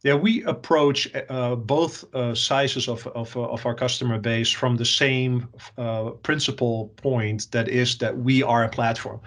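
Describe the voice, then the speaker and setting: A man from the Netherlands with a Dutch accent, an executive answering interview questions and often pausing with fillers and repeated words. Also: mid-range voice, fairly even intonation, a bit bright. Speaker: software company executive.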